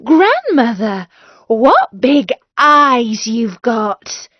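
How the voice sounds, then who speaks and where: An innocent little girl, as a woman reading aloud puts her on, trusting and naive. The voice sits high and bounces along in lively pitch. It comes through dry and a bit dull. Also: very slow, no echo, full character voice. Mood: amazed.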